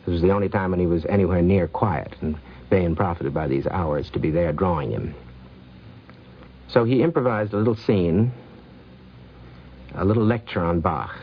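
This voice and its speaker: A man recounting anecdotes in an unhurried, reflective way on a 1950s radio recording. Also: sits low, husky, muffled recording. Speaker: film producer.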